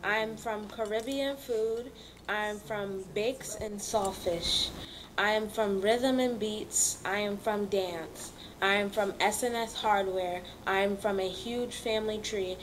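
A woman reciting a poem line by line in a steady, list-like rhythm. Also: medium pitch, unhurried, a little hiss or hum, low-quality recording. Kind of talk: reciting a poem in a played video.

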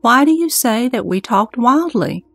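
Woman doing reverent monk disciple in scripture audio drama, highish voice, measured and formal, music under it. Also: expressive, creaky, fairly bright tone, dry recording, full character voice. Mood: questioning. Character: earnest, reverent young monk disciple questioning his master.

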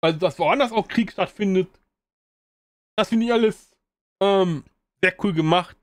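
A man's voice: high-pitched, a little slow, no echo, clean studio sound. A man giving a casual spoken review of an old video game, rambling with many fillers and restarts.

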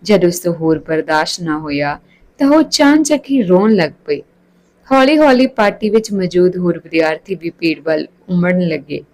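A woman's voice: lowish voice, darkish tone, poor audio. A woman narrating a scripted story as a storyteller.

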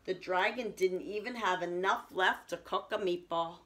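A middle-aged woman reads a picture book aloud for children. Her voice sits a little low, and she tells the story expressively. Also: normal speed, fairly bright tone. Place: small room at home, indoors.

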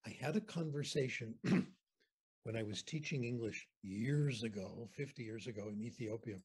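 An older American man, a guest on a video call interview, telling anecdotes in an animated, conversational way from a home room. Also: medium pitch, normal speed, breathy edge. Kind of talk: storytelling lecture on a video call. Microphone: video call webcam.

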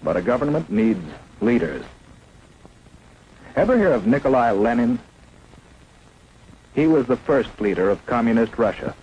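A man's voice: mid-range voice, big pitch swings, moderate pace, long pauses, a little mellow, dull audio. A man narrating a 1950s propaganda film in an emphatic, declamatory way. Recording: faint hiss of an old 1950s film soundtrack.

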